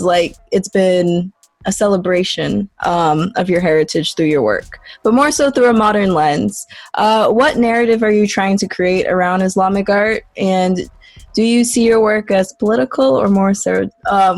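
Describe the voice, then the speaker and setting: A chatty woman in her late twenties asks an old classmate about her work, friendly and loose, dropping casual slang. Her voice sits at an ordinary pitch and sounds close and dry, with no room around it. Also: no room sound. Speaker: interviewer. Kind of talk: casual interview.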